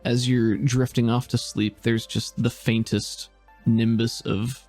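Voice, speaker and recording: A man's voice: medium pitch, flat delivery, slow, a little mellow, faint music behind. A man narrating a tabletop role-play game as game master in a laid-back, descriptive way.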